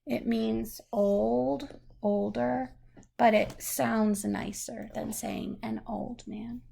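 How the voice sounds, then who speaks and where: Woman doing a read-aloud for a little kid, unhurried, bit of creak in her voice, patient. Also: fairly high pitch, fairly even intonation, mellow, a little hiss or hum. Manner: patient, explains words simply. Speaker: adult reading aloud to a young child. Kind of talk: slow read-aloud storytelling with questions to a child.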